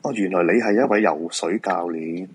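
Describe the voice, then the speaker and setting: A man acting as a feng shui master in a radio play speaks Hong Kong Cantonese in a low, husky voice. He explains patiently and politely, as if to soothe a worried client. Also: normal speed. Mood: calm, reassuring.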